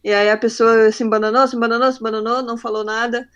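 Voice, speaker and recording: A woman's voice: fairly high pitch, quick pace, lo-fi sound. A young adult woman from northern Brazil, with a faint northern Brazilian accent, speaking frankly as a podcast guest and acting out the dialogue in her stories. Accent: faint northern Brazilian Portuguese. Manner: frank, animated storyteller acting out quoted dialogue. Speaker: podcast guest, marketing professional. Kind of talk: casual interview conversation.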